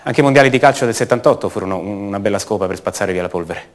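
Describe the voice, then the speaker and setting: An actor playing the brooding adult son of a disappeared woman, speaking haltingly and intimately in a radio theatre monologue. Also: on the low side, close to an everyday voice. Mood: bitter, ironic. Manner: halting, repeats words, intimate.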